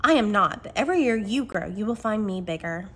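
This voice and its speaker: A woman voicing a great, gentle, wise male lion in a storybook reading, calm and grave. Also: medium pitch, fairly quick, husky.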